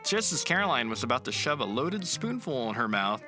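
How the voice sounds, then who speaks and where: A man narrating a children's picture book aloud in an expressive, storytelling way. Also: medium pitch, brisk, music in the background.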